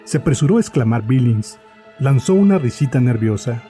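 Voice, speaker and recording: A man's voice: medium pitch, lively intonation, fairly quick, mellow. Male horror story narrator over music.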